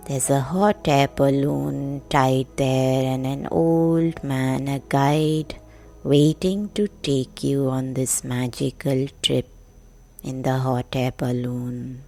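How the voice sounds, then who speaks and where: Super slow soothing lady doing a sleep meditation, mellow hushed voice, soft music behind. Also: a bass voice, steady tone. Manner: soothing, hushed, gentle, long pauses. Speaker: meditation guide, podcast host. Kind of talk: guided sleep meditation narration.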